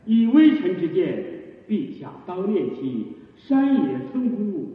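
A man's voice: sits high, big pitch swings, takes it slowly, heavily acted. A man playing a composed, tactful court minister in a radio drama, speaking in a formal, oratorical way. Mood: respectful, persuasive. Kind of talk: Chinese period radio drama acting. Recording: tinny echoing hall, from far off.

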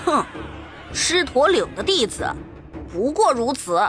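A woman's voice: high-pitched, big pitch swings, a little slow. A woman voicing a cocky, taunting young tiger-monster boy in an audio drama, with music behind. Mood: smug, scornful. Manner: taunting, provocative. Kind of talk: dramatized audio novel acting.

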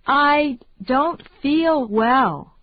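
A woman reading English phrases slowly and deliberately for learners to repeat. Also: high voice, animated. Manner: slow, deliberate, carefully enunciated. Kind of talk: slow repeat-after-me drill. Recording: dry, tinny phone-like sound.

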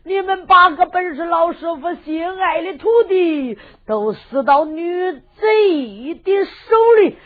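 A woman's voice: extremely high pitch, slow pace, hardly any pauses, voice pushed up. A woman voicing a grieving, vengeful old male kung fu master. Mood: lamenting. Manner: sung-spoken storytelling delivery. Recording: tinny phone-like sound with loud music.

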